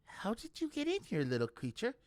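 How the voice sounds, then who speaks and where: A man voicing a naive, earnest young male novice in a high, fluttering voice. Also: huge pitch swings, brisk, no echo, exaggerated voice. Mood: gentle.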